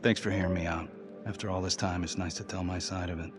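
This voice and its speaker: Guy acting as a low-voiced convict in a crime game, intense n persuasive, music behind him. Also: flat delivery, quick pace, close to an everyday voice. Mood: bitter, confiding. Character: intense, manipulative male death-row prisoner pleading his case.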